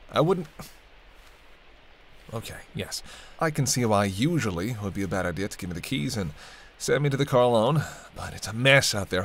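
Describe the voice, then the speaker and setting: A man playing a sardonic but protective vampire in an intimate roleplay audio drama, bantering and speaking straight to the listener. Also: on the low side. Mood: wry, persuasive. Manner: bantering, wry, murmured asides. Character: teasing, sardonic male vampire, centuries-weary but protective.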